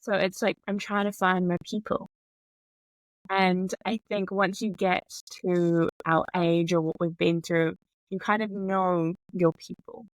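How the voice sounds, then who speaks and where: A woman's voice: on the low side, flat delivery, measured pace, no room sound, rough recording. Young New Zealand woman, chatty guest. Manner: open and rambling, many fillers like "like". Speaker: podcast guest. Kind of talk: casual conversational chat.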